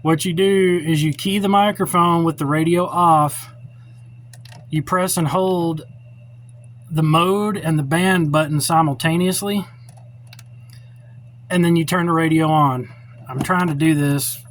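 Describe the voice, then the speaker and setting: A man's voice: a little high, fairly even intonation, a little slow, long pauses. A middle-aged man giving an informal, unscripted how-to demonstration in a blunt, opinionated, plainspoken way. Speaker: radio hobbyist giving a tutorial. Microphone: cell phone held or set up while filming. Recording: noisy cell phone recording.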